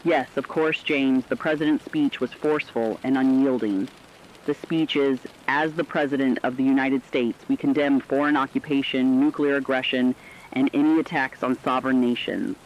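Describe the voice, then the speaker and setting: A man playing a grave radio correspondent, reading war news in a solemn, forceful, declamatory scripted style. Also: medium pitch, steady tone, normal speed, highs cut off, a little hiss or hum, close to an everyday voice. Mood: defiant, resolute. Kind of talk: scripted dramatic news broadcast.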